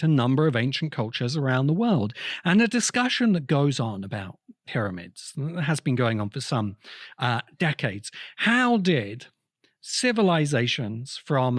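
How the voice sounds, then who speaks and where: A man hosting a podcast, explaining his argument in a deliberate, emphatic way with pauses. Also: mid-range voice, no room sound, studio quality. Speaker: podcast host. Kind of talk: explanatory podcast monologue, essay-like commentary.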